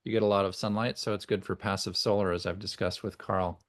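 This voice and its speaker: An older man chatting casually in a video meeting, halting and repeating words as he goes. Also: on the low side, fairly even intonation, a bit bright. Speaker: participant in a group video meeting. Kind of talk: casual chat.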